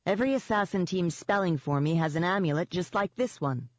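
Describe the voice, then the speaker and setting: A woman's voice: on the low side, expressive, fairly quick, no echo, lo-fi sound, natural voice. A woman voicing a determined, anxious young heroine in an audiobook, speaking with insistent urgency.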